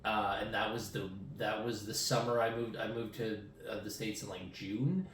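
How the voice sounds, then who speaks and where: A man in his thirties hosting a casual comedy interview, speaking haltingly and often rephrasing himself. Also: on the low side, fairly bright tone, a little hiss or hum. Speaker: podcast host. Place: small room at home, indoors. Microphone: podcast microphone on table arm. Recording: roomy echo, distant from mic.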